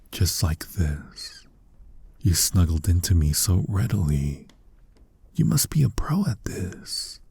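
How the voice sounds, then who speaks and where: A flirty male lust demon voiced by a man murmurs in an intimate ASMR roleplay. His voice is low and very breathy, with a soft, dark warmth, and he takes his time as he teases and comforts. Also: no echo, full character voice. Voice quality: soft, breathy, husky, often near a whisper. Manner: teasing, coaxing, slow and intimate.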